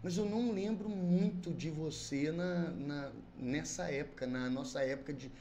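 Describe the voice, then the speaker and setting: Echoey podcast host. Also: male voice, a little high, normal speed, creaky, mellow. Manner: friendly, supportive interjections. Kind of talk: casual interview. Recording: echoey room, distant mic, faint noise.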